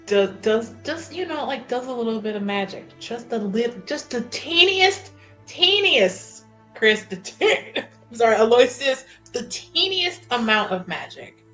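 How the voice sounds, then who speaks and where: A woman's voice: a little high, very animated, measured pace, a bit bright. A woman playing a tabletop roleplaying game, chatting casually and often breaking off to rephrase. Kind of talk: casual in-character and out-of-character game chat. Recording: background music.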